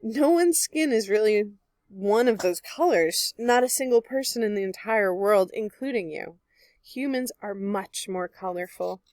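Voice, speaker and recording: A woman reads a picture book to young children in the manner of a teacher. Her voice sits at a medium pitch and she speaks clearly and expressively. The sound is dry, with very little room in it. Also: lively intonation, a little slow, darkish tone, no room sound. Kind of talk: children's read-aloud narration.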